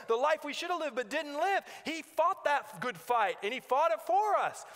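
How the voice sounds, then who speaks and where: A man's voice: very high-pitched, a bit bright. A man preaching a sermon as a pastor, earnest and exhorting, repeating phrases for emphasis. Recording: long hall reverb.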